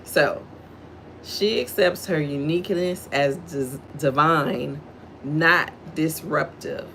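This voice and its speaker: A middle-aged woman from Houston, Texas, with a deep voice and a Southern drawl, hosting her own livestream. Her voice has a gravelly, fry-laced texture. She talks low and slow, moving between reading a devotional aloud and greeting her viewers by name. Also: a bit bright, slight background noise. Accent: Southern US, Texan. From Houston, Texas, USA. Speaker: livestream host. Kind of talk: devotional reading and casual chat with live viewers.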